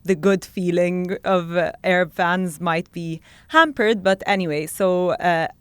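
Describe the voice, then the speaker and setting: A woman's voice: fairly low pitch, a little slow, smooth, no echo. A woman from Egypt giving informal, conversational analysis as an interview guest on sports. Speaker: guest sports commentator and content creator.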